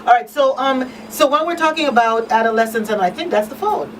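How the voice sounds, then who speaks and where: A woman's voice: sits high, brisk. A woman hosting an informal talk show, speaking emphatically and repeating phrases for stress. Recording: slightly distant mic, faint noise.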